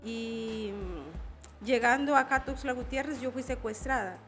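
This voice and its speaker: A Salvadoran woman in her forties, an activist guest in an interview, speaking in a reflective, measured way with many pauses. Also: fairly high pitch, a bit bright, faint music behind. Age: 40s. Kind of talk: personal testimony in interview.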